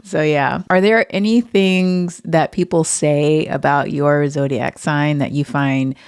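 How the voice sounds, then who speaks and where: A woman's voice: deep voice, steady tone, takes it slowly, a little mellow, clean tone, no room sound, studio quality. A woman hosting an interview podcast, chatting casually, affirming her guest and laughing along. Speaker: podcast host.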